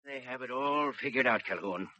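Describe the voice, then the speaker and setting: An actor playing a gloomy, emphatic veteran police inspector in a 1950s radio crime drama. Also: male voice, mid-range voice, normal speed, bright, forceful tone, lightly acted. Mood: brooding. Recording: muffled, noisy old radio recording.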